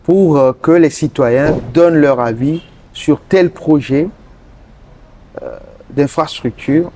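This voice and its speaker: A man from Togo, answering interview questions earnestly and pausing often mid-sentence to find his words. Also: a little high, mellow, a little hiss or hum. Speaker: interview guest, politician.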